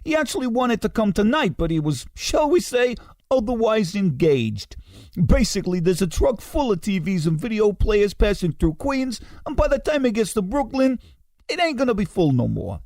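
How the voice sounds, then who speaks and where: A man's voice: high voice, sing-song, normal speed, a little mellow, no room sound. A man playing a cocky, supportive wise-guy buddy with shady connections in a comedy radio play. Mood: cocky, conspiratorial. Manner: brash, streetwise American patter.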